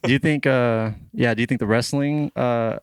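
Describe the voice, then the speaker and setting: A man's voice: medium pitch, fairly even intonation, normal speed, clean tone, no echo, studio quality. A man co-hosting a casual podcast, agreeable and a little hesitant, with frequent ums. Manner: agreeable, mostly short affirmations, hesitant with ums. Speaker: podcast co-host. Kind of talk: casual chat.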